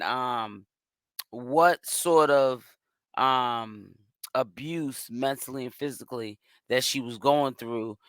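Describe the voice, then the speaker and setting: A hoarse-voiced man talks as a commentary host, casually running through a gossip update. His pitch is middling for a man. The sound is dry and close, with no room around it. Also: fairly bright tone, no echo.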